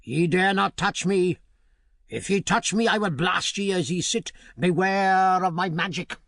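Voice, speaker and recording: A man's voice: measured pace, creaky, a bit bright, no echo, studio quality, full character voice. A man voicing an ancient, wicked old witch who shrieks and cackles in a storybook reading. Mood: defiant. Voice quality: shrill and cackling.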